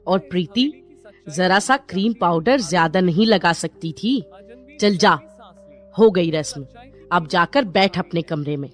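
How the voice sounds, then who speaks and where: A woman playing a middle-aged, sharp-tongued Indian mother-in-law with theatrical delivery in a cartoon story. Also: on the low side, lively intonation, measured pace. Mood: exasperated, scornful. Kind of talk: Hindi animated moral story dialogue. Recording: music bed behind the voice.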